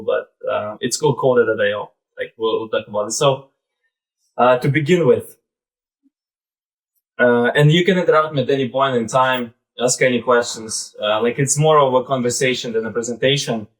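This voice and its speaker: A man in his thirties with a Belarusian accent gives an informal live presentation in a halting, conversational way, often saying "like" and "basically". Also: mid-range voice, low-quality recording. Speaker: presenter, startup founder.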